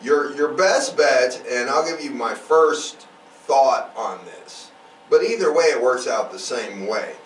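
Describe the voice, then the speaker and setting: A man hosts a casual podcast in a rambling, explanatory style. His mid-pitched voice moves up and down a lot. It is picked up from a little distance in an echoey room. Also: expressive, slow. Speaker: podcast host. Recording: roomy echo, distant mic, faint noise.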